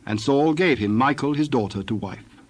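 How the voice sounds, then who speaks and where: Medium-pitched male Bible narrator. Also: animated, a little hiss or hum. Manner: measured, formal storytelling.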